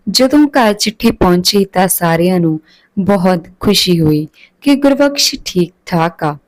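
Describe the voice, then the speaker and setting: A woman storyteller delivers a scripted narrative. Her voice sits at a medium pitch for a woman, and she reads as one telling a moral tale. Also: a little mellow, low-quality recording.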